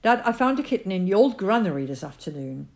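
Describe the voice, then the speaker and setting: A woman's voice: mid-range voice, very animated, fast, vocal fry, darkish tone, pitched above the performer's own voice. A woman voicing an earnest fourteen-year-old farm boy, eager and pleading, in a storybook reading.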